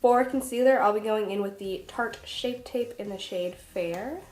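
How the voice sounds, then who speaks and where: A woman's voice: mid-range voice, normal speed, a little mellow. Young female beauty vlogger, nasal and congested with a cold, informal and chatty, recorded in a roomy, echoey space. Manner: chatty, self-deprecating, informal. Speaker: beauty vlogger, makeup artist. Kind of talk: casual get-ready-with-me makeup tutorial.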